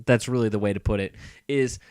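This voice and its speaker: A man's voice: fairly low pitch, a little fast, darkish tone, clean tone, no echo, studio quality. A man co-hosting a podcast, chatting casually about films in a sarcastic, nerdy way. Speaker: podcast co-host.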